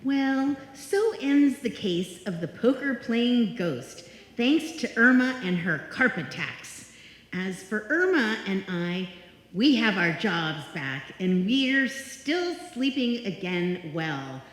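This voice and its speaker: A woman playing a wry, exasperated young woman in a live old-time radio comedy, with deliberate, dry delivery. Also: mid-range voice, sing-song. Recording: echoey hall, live stage performance.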